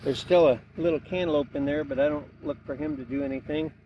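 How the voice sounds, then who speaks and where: A man giving a plain-spoken, unhurried walk-through of his farm garden outdoors, filmed on a handheld camera as he walks. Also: a little high, steady tone, warm tone, slight background noise, low-quality recording. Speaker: homestead vlogger. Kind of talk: casual walk-through narration. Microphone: handheld camera carried while walking.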